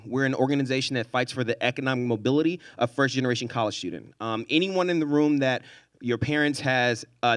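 An energetic man in his thirties, from Houston, introduces himself on a panel stage through a handheld mic. His voice sits at an ordinary pitch and the sound is close and dry. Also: dry recording. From Houston, Texas. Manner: energetic, rallies the audience. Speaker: panelist, nonprofit director. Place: stage at a large event space. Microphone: handheld microphone.

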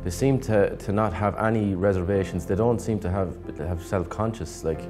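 A man's voice: sits low, normal speed. A man in his thirties, a music educator speaking earnestly in a studio interview. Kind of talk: interview answers. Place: studio with plain backdrop.

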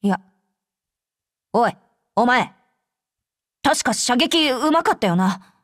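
An actress playing a brash, hot-tempered young female fighter with rough, curt tomboyish speech, in anime game voice lines. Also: a little high, lively intonation, takes it slowly, no room sound, clean studio sound. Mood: gruff, curt. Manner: rough, curt masculine speech, easily flustered.

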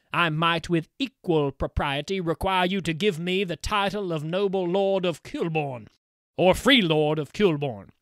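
A man's voice: a little high, bright, forceful tone, dry recording, studio quality. A man voicing a sly, mocking male water spirit who speaks with grand self-importance.

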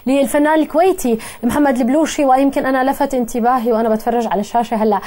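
A Jordanian woman in her thirties hosts a live television call-in show from a studio desk, with a lapel mic. Her voice sits high and she talks fast. She moves briskly from caller to caller in a friendly way. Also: fairly even intonation, mellow. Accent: Jordanian Arabic. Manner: brisk, friendly, gestures while talking.

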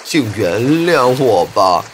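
A man voicing an earnest, soft-hearted crocodile in a children's animated fairy tale, with a pleading, emphatic delivery. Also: lowish voice, takes it slowly, a little hiss or hum, voice pushed down. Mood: anguished, apologetic. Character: earnest, soft-hearted male crocodile, troubled loyal friend.

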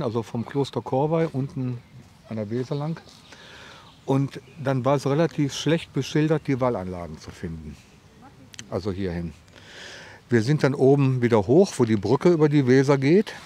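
A man's voice: medium pitch, lively intonation, moderate pace, mellow. Guy visitor talking in a street interview outside, explains stuff, bit of noise behind. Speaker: garden show visitor interviewed. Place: outdoors on garden show grounds. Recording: outdoor interview with ambient noise.

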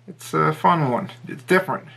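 Gravelly man muttering over his tying. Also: a little high, normal speed. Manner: relaxed, thinking aloud, muttering under his breath while working. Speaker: fly tying instructor. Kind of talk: casual tying tutorial. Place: indoor tying desk.

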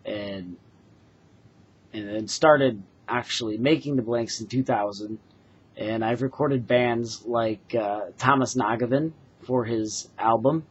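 Husky man on dull webcam audio. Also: mid-range voice, slow. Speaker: craftsman presenting his handmade products. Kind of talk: informal product demonstration to camera. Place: small bedroom, indoors. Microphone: webcam or laptop mic at desk distance.

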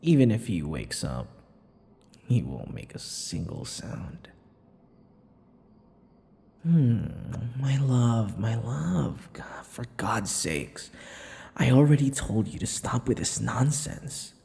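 A man playing a possessive vampire lover in an intimate ASMR roleplay, teasing and menacing, murmuring slowly with drawn-out words. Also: sing-song, mellow, exaggerated voice. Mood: smug, exasperated. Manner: slow, breathy murmurs, drawn-out words, low chuckles. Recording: long reverb, faint background noise.

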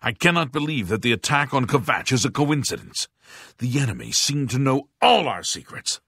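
A man playing a grave aging monk and former guard captain in a fantasy video game, speaking formally and deliberately with a husky voice. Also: mid-range voice, sing-song, a bit bright, no echo, studio quality, exaggerated voice. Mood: urgent. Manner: formal, solemn, deliberate enunciation.

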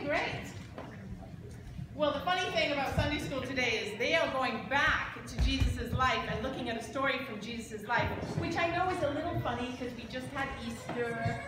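A middle-aged woman, a church storyteller narrating in an animated way for children. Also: high-pitched, moderate pace. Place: large church sanctuary. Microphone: handheld microphone. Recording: echoey church, noisy room.

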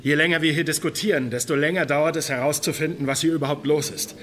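An actor playing an officious ship's first officer in a German radio crime drama, formal and firm in manner. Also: male voice, on the high side, steady tone, quick pace, a bit bright, a little hiss or hum, natural voice. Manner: formal, procedural, polite but firm.

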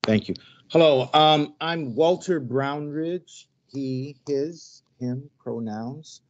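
A middle-aged man, a priest and council member, introducing himself on a video call in a relaxed, halting way with self-deprecating asides. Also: fairly high pitch. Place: hotel room, joining remotely. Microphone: headset on video call. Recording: dull video call audio.

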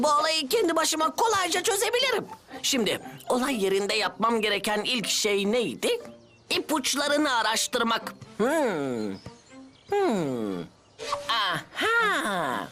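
A woman voicing an eager, naive young male police officer in a cartoon, excitable and hesitant when caught out. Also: fairly high pitch, heavily acted. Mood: panicked. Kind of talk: animated cartoon dub acting. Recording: music behind the voice.

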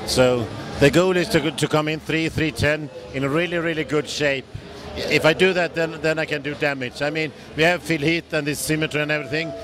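Swedish man talking haltingly at expo. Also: on the high side, fairly even intonation, a crowd in the background. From Sweden. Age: 40s. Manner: halting, non-native grammar, frequent restarts. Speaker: bodybuilder guest. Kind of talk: casual interview answers. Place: busy expo hall booth. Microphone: handheld mic held by interviewer. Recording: noisy trade show floor.

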